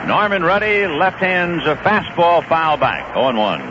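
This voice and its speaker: A man calling baseball play-by-play on the radio in a conversational, lively manner. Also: a little high, measured pace, a crowd in the background. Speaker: play-by-play announcer. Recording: dull 1970s radio broadcast with hiss.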